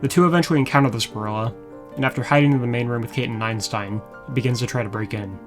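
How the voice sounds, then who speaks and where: A man's voice: mid-range voice, rapid-fire. A man narrating an episode recap as a reviewer, with wry, sarcastic asides. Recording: music playing behind the voice. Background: background music.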